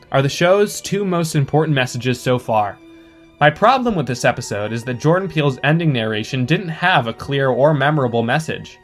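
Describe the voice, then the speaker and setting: A man giving prepared opinion commentary as a podcast listener, in an articulate, measured, essay-like way. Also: mid-range voice, a bit bright.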